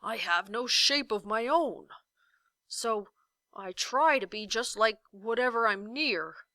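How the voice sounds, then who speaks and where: Huge jelly giant, acted by a woman, blustering, nervous, hedging, bright forceful tone, storybook read-aloud. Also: fairly high pitch, animated, slow, no room sound, heavily acted. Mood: grand, explaining. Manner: blustering, hesitant, hedging every statement. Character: huge gelatinous giant, ferocious yet timid and indecisive.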